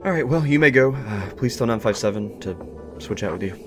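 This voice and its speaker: A man's voice: medium pitch, lively intonation, fairly quick, background noise. A man hosting a casual interview, speaking politely and a little hesitantly. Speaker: talk show host, interviewer. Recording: music under the voice.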